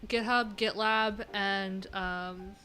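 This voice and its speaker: Bright-voiced woman, slow and halting. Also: medium pitch, fairly even intonation, faint music behind. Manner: informal, halting, trailing off mid-thought. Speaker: livestream host, web developer. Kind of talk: casual unscripted tech livestream chat, thinking aloud.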